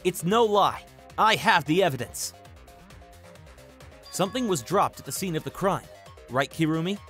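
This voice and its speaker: Confident teenage boy arguing his case played by a man, slightly high voice, soft music underneath. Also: animated, normal speed. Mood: assured. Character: confident, earnest teenage male student making his case. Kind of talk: anime fan dub acting.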